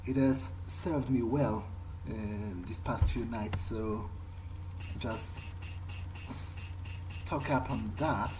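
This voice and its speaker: A man improvising an in-character video game playthrough, speaking haltingly as he thinks aloud. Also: mid-range voice, background noise.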